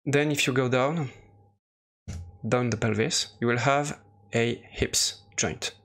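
Guy with a creaky voice walking thru software, kinda halting, mid pitch. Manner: hesitant, halting explanation. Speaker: software tutorial presenter. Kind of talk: unscripted screen-recorded tutorial narration.

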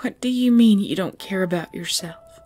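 A woman acting a tender, regal female vampire lover in an intimate ASMR roleplay, speaking softly and hesitantly with breathy whispers. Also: mid-range voice, moderate pace, natural voice. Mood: grave, worried. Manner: soft, hesitant, often dropping to breathy whispers. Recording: music bed under the voice.

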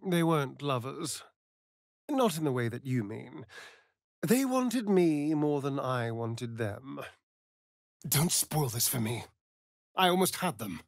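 A man's voice: mid-range voice, big pitch swings, slow pace, vocal fry, long pauses, no room sound, studio quality, exaggerated voice. An actor playing a sardonic, vain male vampire rogue in a video game, with a British accent and an arch, drawling, theatrical delivery. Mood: earnest, intense. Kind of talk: video game character voice lines.

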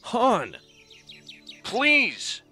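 A man's voice: extremely high pitch, huge pitch swings, drawn-out words, music in the background, exaggerated voice. An actor playing a pleading, wheedling male smuggler sidekick in a comic dub. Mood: frightened, pleading.

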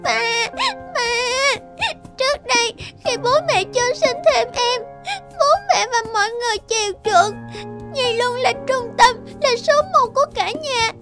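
A jealous, tearful little girl from a cartoon. She whines and pleads slowly in a tiny, extremely high voice. Loud music plays behind her. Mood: hurt, sulky. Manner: whining, pleading. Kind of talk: cartoon voice acting.

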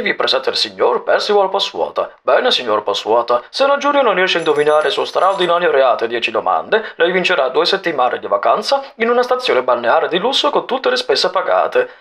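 An actor playing a suave, jovial game show announcer in an old cartoon film dub. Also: male voice, fairly high pitch, sing-song, a little fast, few pauses, fairly bright tone. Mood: polished, jovial.

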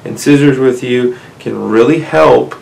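A man's voice: mid-range voice, measured pace, gravelly, a little mellow, rough recording. A man, casually explaining fishing gear to camera from a small room, often asking "right?". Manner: conversational, addresses viewers as guys, frequent "right?". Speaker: outdoors channel host. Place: small indoor room, seated at desk.

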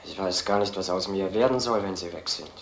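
An actor playing a glum, dutiful police subordinate who reports in a matter-of-fact, deferential way. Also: male voice, low voice, fairly quick, close to an everyday voice. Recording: echoey, noisy old film soundtrack.

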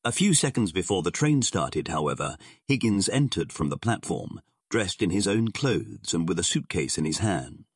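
A man with a husky voice reading scripted narration of a pulp adventure story as an audiobook narrator. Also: low voice, very animated, moderate pace, no echo, clean studio sound.

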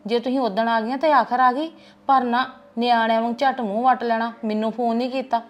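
An actress with a Punjabi accent playing a wise aunt who calmly gives family advice. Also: female voice, fairly high pitch, a little fast, natural voice. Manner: gently advising, calm. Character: wise older paternal aunt giving advice.

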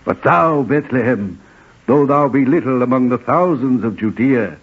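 An actor playing a wary, grasping, deferential innkeeper in formal 1940s radio drama style. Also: male voice, medium pitch, animated, moderate pace, husky. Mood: reverent, deferential. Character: obsequious, wary, grasping male innkeeper of ancient Bethlehem. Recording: muffled phone-like 1940s radio recording.

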